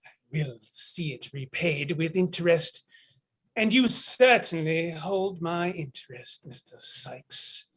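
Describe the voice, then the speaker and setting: Actor as a menacing captain, high male voice, slow, pompous, mock-polite, theatrical stage villain. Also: animated, fairly bright tone, breathy edge, full character voice. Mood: quiet menace. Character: coldly courteous, menacing military captain. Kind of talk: dramatized stage play reading.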